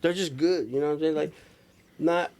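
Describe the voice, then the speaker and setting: A man's voice: a little high, fairly quick, a little mellow. An American man chatting casually as a podcast guest, with slangy, emphatic talk. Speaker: DJ and recording engineer, podcast guest. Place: small room with couches, indoors.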